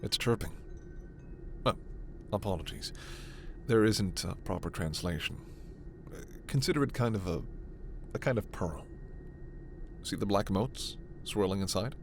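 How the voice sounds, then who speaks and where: A man playing a gentle, earnest alien scientist in a sci-fi ASMR roleplay, speaking in a measured, hesitant, soft way. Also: low-pitched, a little hiss or hum. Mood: gentle, fond. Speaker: voice actor.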